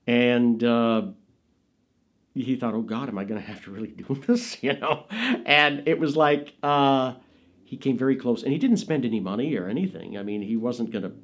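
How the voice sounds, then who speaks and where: A middle-aged man telling family anecdotes in a seated studio interview, animated and laughing, often jumping into high quoted voices. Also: moderate pace. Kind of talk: anecdotal storytelling. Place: indoors, studio with dark curtain backdrop.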